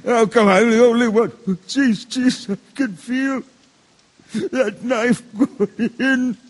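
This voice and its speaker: An actor playing an angry, stubborn juror and bitter father in a radio drama, combative and emphatic, with a husky voice. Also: very high-pitched, fairly even intonation, slow pace. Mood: anguished. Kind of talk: stage-style radio drama acting.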